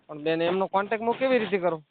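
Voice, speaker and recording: A man's voice: sits high, rapid-fire. A man with a Gujarati accent calling customer support, speaking casually and deferentially, often in brief replies. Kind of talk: casual, colloquial phone talk. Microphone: telephone call. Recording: tinny phone line with noise.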